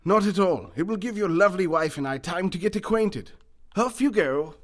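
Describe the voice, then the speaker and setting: An actor playing a pompous, wealthy newspaper boss with a grandiose, theatrical manner in a comedic radio play. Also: male voice, high voice, normal speed, lightly acted. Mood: grandly hospitable.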